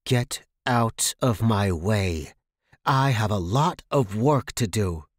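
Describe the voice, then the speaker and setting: A man's voice: lowish voice, lively intonation, slow, no echo, clean studio sound. A man voicing a cold, curt and ominous landlady in a horror story. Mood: curt, dismissive. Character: cold, menacing landlady of a sinister boarding house. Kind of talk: dramatized horror audiobook reading.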